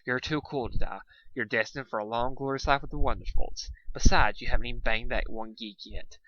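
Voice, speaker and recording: A man's voice: mid-range voice, fairly quick, sharp tone, highs cut off, slight background noise, lightly acted. A man voicing a brash young female pegasus pony in a fan fiction story, casual, sarcastic and emphatic. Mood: cocky.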